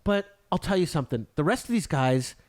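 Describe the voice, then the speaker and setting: A man's voice: a little high, lively intonation, fairly quick, darkish tone. A man co-hosting a podcast, chatting casually about films and celebrities. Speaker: podcast co-host.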